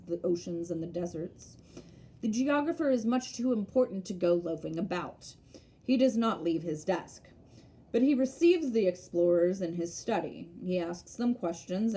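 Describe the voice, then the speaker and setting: A woman's voice: lowish voice, dark tone, natural voice. Woman playing a pompous, pedantic old geographer. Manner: pompous, emphatic lecturing. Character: self-important, pedantic old male geographer.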